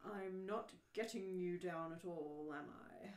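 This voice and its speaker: Slow-speaking morose robot, played by a woman, dreary and self-pitying, radio play read in an echoey room. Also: fairly low pitch. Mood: gloomy, resigned. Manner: morose, resigned complaining.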